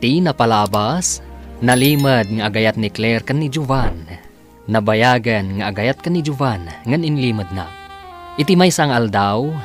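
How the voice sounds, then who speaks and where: A male radio drama announcer with a mid-pitched voice. He narrates in a scripted, dramatic style, drawing out his phrases for emphasis over background music.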